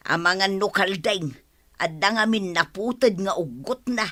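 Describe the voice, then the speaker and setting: Lady playing nagging ilocano wife, fed up, sharp bright voice, dry close sound, radio comedy. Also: medium pitch, animated, a little slow, no room sound. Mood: scolding. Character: exasperated, scolding village wife.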